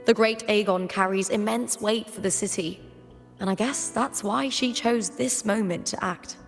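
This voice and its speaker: A woman voicing a frank, confiding young female gladiator in a video game, explaining things in a measured, storylike way. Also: medium pitch, gravelly, in a large hall, soft background music, close to an everyday voice. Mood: grave, informative.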